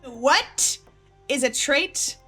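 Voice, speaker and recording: A woman's voice: high-pitched, expressive, extremely slow, sharp tone, faint music behind. A woman talking casually in a panel discussion, as a writer and actor on a show.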